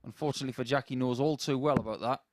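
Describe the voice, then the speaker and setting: Deep-voiced guy doing darts commentary, chatty, analysing the play with his mate. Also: rapid-fire, background noise. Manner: conversational analysis, with asides to a co-commentator. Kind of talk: live sports commentary.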